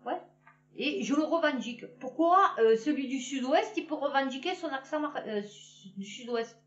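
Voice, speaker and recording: A woman's voice: high voice, lively intonation, moderate pace, roomy sound, distant mic, lo-fi sound. A woman from Marseille with a Marseille accent, ranting in a casual, blunt and slangy way and laughing at her own jokes. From Marseille, France. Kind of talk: casual political rant to camera.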